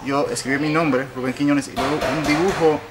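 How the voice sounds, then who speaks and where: A man from Puerto Rico interviewing conversationally and sharing personal anecdotes, halting and correcting himself as he goes. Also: a little high, steady tone. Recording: noticeable background noise.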